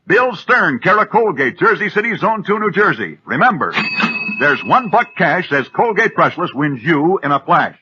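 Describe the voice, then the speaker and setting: A man pitching a product in a scripted vintage radio commercial. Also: sing-song, moderate pace. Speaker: commercial spokesman. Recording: dull, noisy vintage radio recording.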